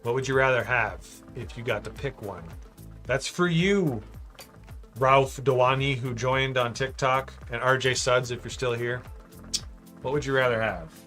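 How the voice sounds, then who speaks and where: A man's voice: mid-range voice, unhurried, a bit bright, faint music behind. A man hosting a livestream, chatting casually and thinking aloud as he builds, reading out chat messages and joking with viewers. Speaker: livestream host building a model.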